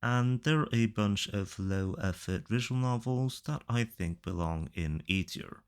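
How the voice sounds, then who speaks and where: A man giving casual opinion commentary through a ranked list in a matter-of-fact, measured way. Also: lowish voice, smooth. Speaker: video reviewer.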